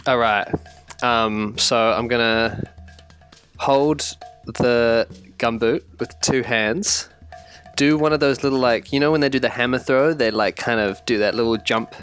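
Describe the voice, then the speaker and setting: Kiwi player in a tabletop game, slow easy talker, mid pitch, music underneath. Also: fairly bright tone, clean tone. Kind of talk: casual improvised tabletop roleplay chat.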